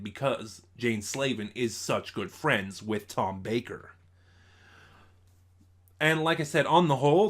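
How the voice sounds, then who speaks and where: A man presents a casual review in an ordinary mid-pitched voice. He speaks haltingly, letting phrases trail off and then landing firmly on certain words. It sounds like an informal host talking through his opinions. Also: bright, forceful tone. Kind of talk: casual spoken review of audio dramas.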